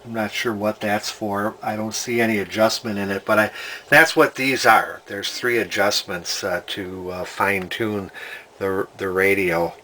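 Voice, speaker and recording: A man's voice: fairly low pitch, steady tone, unhurried, bright, forceful tone, slight background noise. A man giving casual hands-on repair commentary as a hobbyist, hesitantly thinking aloud as he goes. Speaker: hobbyist radio restorer presenting a repair video.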